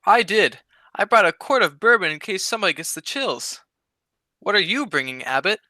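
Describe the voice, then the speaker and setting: A man's voice: high voice, big pitch swings, normal speed, pushed and bright, dry recording, exaggerated voice. A man playing a silly, dim-witted wisecracking sidekick in a 1940s-style radio comedy. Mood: eager. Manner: silly, cheeky wordplay.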